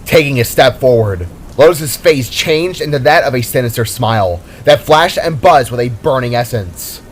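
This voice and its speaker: A man's voice: mid-range voice, expressive, normal speed, slight background noise. A man reading scripted dramatic narration as the narrator of a fantasy story.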